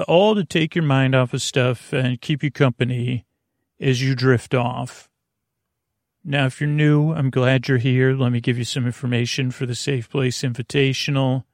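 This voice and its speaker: A man with a creaky voice hosts a sleep podcast, rambling soothingly in hushed golf-commentator tones, haltingly meandering and over-explaining. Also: mid-range voice, no echo, clean studio sound. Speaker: host of a bedtime sleep podcast.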